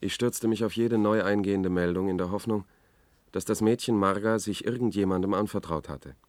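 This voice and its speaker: An actor as a haunted, obsessive male reporter in a science-fiction radio play. His voice is low, and he tells his story with brooding, measured intensity. It is recorded dry and close. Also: a little mellow, dry recording, close to an everyday voice. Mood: tense, unravelling. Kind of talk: German radio drama, first-person narration.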